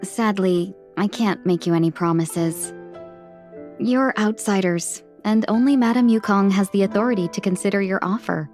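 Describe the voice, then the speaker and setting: A woman's voice: mid-range voice, normal speed, a little mellow, faint music behind, lightly acted. A woman playing a courteous, playful young fox-tailed merchant in a video game, speaking with polite, coaxing formality. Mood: gracious, reassuring. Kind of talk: English video game voice acting.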